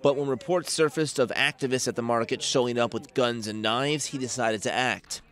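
Measured male news reporter. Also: medium pitch. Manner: measured, even newsreader delivery.

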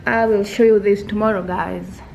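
A woman's voice: mid-range voice, steady tone, moderate pace, creaky, a little mellow, roomy sound, in a large hall, poor audio. A young woman vlogging casually to a handheld camera held at arm's length, chatty and rambling, often restarting her phrases. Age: young adult. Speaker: travel vlogger. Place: hotel rooms, a car and outdoors. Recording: handheld camera audio with background noise.

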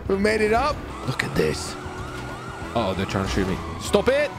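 A man with a fairly high, very lively voice commentates on a roleplay game. He is playful and theatrical, exclaiming and repeating phrases, with clear music behind him. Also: normal speed. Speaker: gamer and video host. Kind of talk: excited roleplay gaming commentary.

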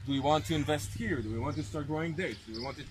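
A man's voice: on the high side, rapid-fire. Young man guiding a tour outdoors. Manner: explaining with gestures, asking the group questions. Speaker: tour guide. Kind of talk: outdoor guided tour talk. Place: park lawn outdoors with a seated tour group. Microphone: handheld camera held close by the filmer. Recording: outdoor noise, birds and passing vehicles.

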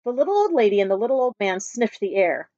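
A woman in her thirties reading a picture book aloud to schoolchildren with expressive storytelling. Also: medium pitch, fast, no room sound. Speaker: school staff reading to pupils. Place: small book-lined room at home. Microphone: webcam at arm's length. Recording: dull, lo-fi webcam sound.

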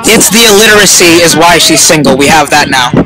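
A man's voice: fairly high pitch, animated, brisk, low-quality recording. A young man hosting a blunt, joking street interview about dating outdoors in a sunny park, teasing his guests and swearing casually. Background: background music.

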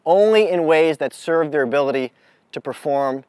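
A man speaking as a mental toughness coach, giving a deliberate, instructive motivational lesson to a group out in the open. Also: a little high, expressive, darkish tone, dry recording. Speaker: mental toughness coach leading a youth clinic. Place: outdoors on an amphitheater lawn.

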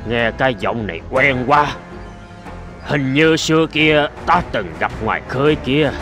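A man voicing an old, ailing, remorseful male sea hawk in a cartoon fable, weary and plaintive. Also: medium pitch. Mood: self-pitying. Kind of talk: animated fable voice acting.

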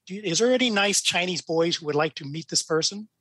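A man's voice: high voice, fast talker, sharp tone, slightly rough, no echo, clean studio sound. An American man in his sixties from California, a judge telling his story casually as an interview guest. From California, United States. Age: 60s.

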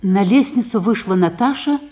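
A woman's voice: medium pitch, expressive, moderate pace, mellow. A woman narrating stage directions in a matter-of-fact way in a 1950s radio play.

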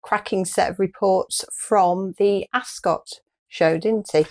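A woman's voice: mid-range voice, fairly even intonation, slow, a little mellow. A woman in her fifties with a British accent, chatting casually as a co-host. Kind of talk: casual chat. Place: small furnished room, indoors.

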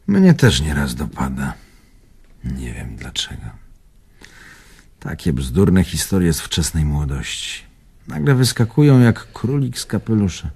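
Husky, very animated old storyteller. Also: male voice, low voice, exaggerated voice. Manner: theatrical, expansive storytelling. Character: animated, teasing old Polish man fond of reminiscing. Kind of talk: radio drama acting, reminiscing.

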